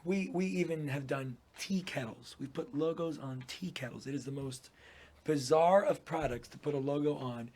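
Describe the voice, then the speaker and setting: A man's voice: on the high side, moderate pace, darkish tone. A man in his thirties from Puerto Rico, a business owner chatting easily as an interview guest, laughing often and using plenty of fillers. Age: 30s. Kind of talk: casual interview chat.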